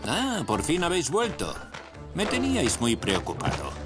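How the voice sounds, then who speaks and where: A man's voice: on the high side, wildly swooping pitch, normal speed. A man with a Castilian Spanish accent voicing a stern, exasperated father who runs a horse farm in a children's cartoon, scolding and emphatic. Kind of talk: animated children's cartoon dialogue. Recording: music under the voice.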